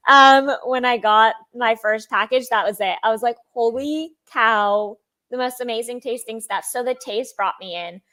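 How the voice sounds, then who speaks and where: A young woman with a high-pitched voice talks through her life story as an interview guest. She is chatty and lively, often laughing and playing out what other people said. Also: normal speed. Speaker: sponsored athlete guest.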